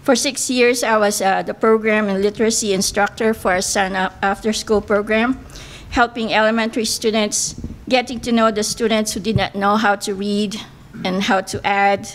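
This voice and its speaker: A woman's voice: medium pitch, fairly even intonation, normal speed, poor audio. A middle-aged woman from the Philippines with a Filipino accent, speaking English as a second language in an earnest, careful candidate speech at a podium mic in a banquet room. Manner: earnest, careful, with hesitant fillers. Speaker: political candidate. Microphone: podium microphone.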